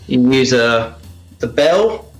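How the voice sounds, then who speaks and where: Male interview guest, animated, casual and explanatory, roomy echo on a video call. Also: mid-range voice, slow, over loud music. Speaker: guest, radio drama storyteller and sound effects maker. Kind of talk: casual explanatory chat with demonstrations. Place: room, on a live video call.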